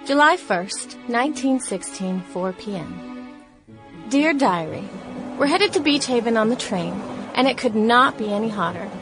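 A woman's voice: fairly high pitch, lively intonation, unhurried. A woman voicing a young woman writing diary entries at the beach in 1916, in a radio play. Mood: wry. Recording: music bed behind voice.

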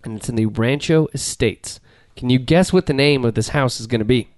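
A middle-aged man hosting a podcast in a home garage, joking in a deadpan, rambling way. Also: medium pitch, a little fast, no room sound, studio quality. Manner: rambling, deadpan, trails off and restarts. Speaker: podcast host. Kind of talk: casual joking banter.

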